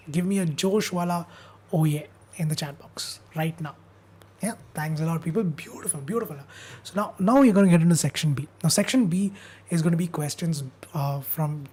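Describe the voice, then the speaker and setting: A woman's voice: fairly low pitch, mellow, slightly rough. A young woman, teaching an upbeat exam revision class and coaxing viewers to answer in the chat, while she is losing her voice. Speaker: online tutor.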